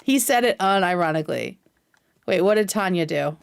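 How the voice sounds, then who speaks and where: A woman's voice: on the low side, animated, moderate pace, vocal fry, clear, resonant tone. Casual woman livestreamer talking through commentary. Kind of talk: casual reaction commentary to clips.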